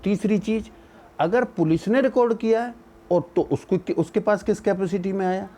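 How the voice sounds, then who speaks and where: Man, mellow higher-pitched voice. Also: normal speed. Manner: argumentative, rhetorical questioning, with English legal terms mixed in. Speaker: legal educator giving opinion. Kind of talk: explanatory legal commentary.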